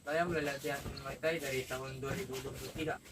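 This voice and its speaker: A man's voice: medium pitch, fairly even intonation, fast talker. A young man from South Sulawesi answering interview questions as an athlete. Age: young adult. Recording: distant mic, clear background noise.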